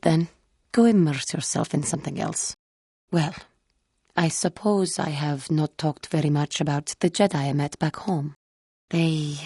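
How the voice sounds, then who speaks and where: An actress voicing a solemn, wounded young feline alien Jedi woman in a video game, speaking in a measured, formal, guarded way. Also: sits low, gravelly, mellow, husky, no echo, clean studio sound, full character voice. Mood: curt, wounded pride, then wistful admiration. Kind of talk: video game character dialogue.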